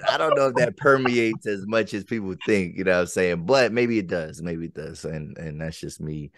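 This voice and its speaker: A man's voice: sits low, moderate pace, no echo, studio quality. A man from central Pennsylvania hosting a casual interview podcast, talking in loose, rambling sentences full of fillers and restarts. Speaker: podcast host.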